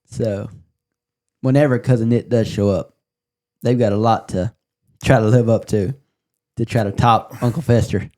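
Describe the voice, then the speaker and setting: Male podcast host, soft mellow tone, relaxed casual chat. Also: lowish voice, moderate pace, pauses often.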